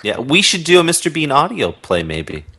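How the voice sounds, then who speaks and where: A man's voice: on the high side, huge pitch swings, normal speed, a crowd in the background. A man in casual chat as a podcast guest, agreeable and often repeating short phrases. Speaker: podcast guest, audio drama maker.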